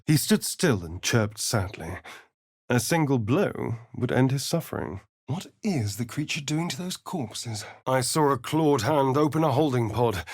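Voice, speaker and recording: An actor playing a suave, sardonic vampire rogue in a video game, vain and theatrical, with an arch, drawling delivery. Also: male voice, medium pitch, no room sound, clean studio sound. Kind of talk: dramatic video game character lines and narration.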